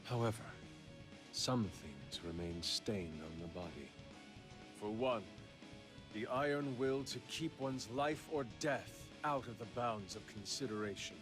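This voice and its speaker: Slow, solemn devoted disciple. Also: male voice, lowish voice, lively intonation. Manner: formal, solemn, deliberate. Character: earnest, devoted male assassin disciple, intensely solemn. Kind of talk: video game character acting. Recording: studio voice under loud guitar music.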